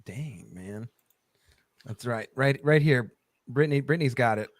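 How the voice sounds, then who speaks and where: A man's voice: medium pitch, measured pace, dry recording. A man co-hosting a live chat show, teasing and joking playfully. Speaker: podcast co-host. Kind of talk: casual live-stream chat.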